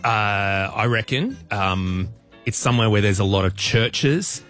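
A man's voice: lowish voice, measured pace, a bit bright, soft background music. A man, a guest on a radio show, joking and bantering casually. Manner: playful, joking.

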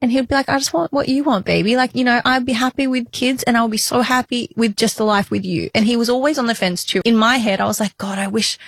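A woman's voice: on the high side, no echo. Woman, late thirties, candid podcast chat, earnest, dry close sound. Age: 30s. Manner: frank, earnest, quick to laugh. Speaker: podcast co-host.

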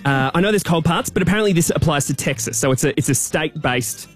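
A man with a fairly high voice hosts a radio quiz in a casual, chatty style. He explains and banters as co-host, with music running behind him. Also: animated, quick pace.

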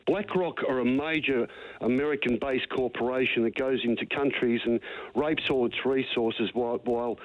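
A man's voice: mid-range voice, normal speed, dry recording. Tinny phone-line Australian man calling in. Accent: broad Australian. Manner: earnest, chatty, matey. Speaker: radio phone-in caller. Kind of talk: opinionated casual talk. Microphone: telephone.

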